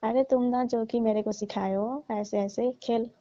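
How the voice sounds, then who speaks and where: A woman's voice: fairly high pitch, fairly even intonation, unhurried, dark tone, dry recording, lightly acted. A woman playing a flirtatious middle-aged auntie, coaxing and teasing in a casual phone conversation. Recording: muffled lo-fi phone call recording.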